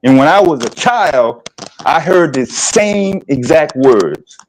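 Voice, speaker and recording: A man in his fifties from the American South, hosting a livestream discussion in a plainspoken, halting way. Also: on the high side, lively intonation, a little hiss or hum, rough recording. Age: 50s. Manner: plainspoken, halting, asks rhetorical questions. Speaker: livestream host. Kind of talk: casual discussion.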